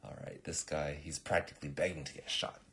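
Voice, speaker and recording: A man voicing an excitable, dramatic assassin who is easily flustered and exclaims in disbelief. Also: extremely low pitch, brisk, husky, full character voice. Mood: scheming. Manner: exclamatory, incredulous.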